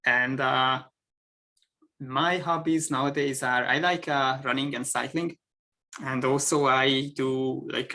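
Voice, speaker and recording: Hungarian guy on a video call, gravelly bright voice, lots of uhs and restarts. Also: medium pitch. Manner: hesitant, many fillers and restarts. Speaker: guest engineer. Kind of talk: casual chat.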